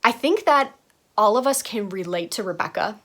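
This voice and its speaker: A woman's voice: medium pitch, expressive, moderate pace, fairly bright tone, slight background noise. A woman fan talking enthusiastically about a favorite show, laughing often. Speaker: fan sharing testimony. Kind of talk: casual fan testimonial.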